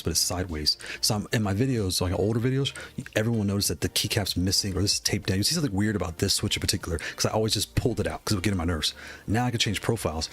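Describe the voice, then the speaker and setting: A man's voice: sits low, breathy edge. A man casually chatting on a live stream, rambling, greeting viewers and laughing, with a voice worn from losing it. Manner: rambling, shouts out chat viewers, laughs often. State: losing his voice.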